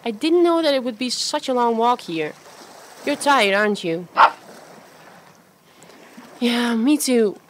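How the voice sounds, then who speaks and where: Woman acting a high-voiced, hesitant young woman. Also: lively intonation, slow, pauses often, background noise. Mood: affectionate. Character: friendly, chatty, self-doubting young woman with a dog. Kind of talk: amateur radio play acting in English.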